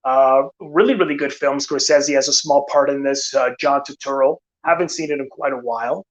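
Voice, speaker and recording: A man's voice: medium pitch, moderate pace, rough recording. A man hosting a live film channel stream, chatting casually with many fillers and restarts, tired and losing his voice.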